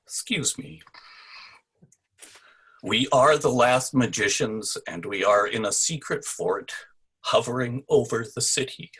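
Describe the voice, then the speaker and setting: A man's voice: mid-range voice, animated, slow, pauses often, a bit bright, husky, poor audio. A man speaking casually on a video call, telling an anecdote as a participant in a salon. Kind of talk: casual anecdote with a recited play excerpt.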